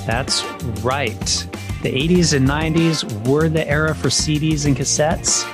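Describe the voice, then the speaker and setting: A man's voice: on the high side, steady tone, measured pace, over music. A middle-aged man co-hosting a podcast, chatting and debating in a casual style. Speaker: podcast co-host.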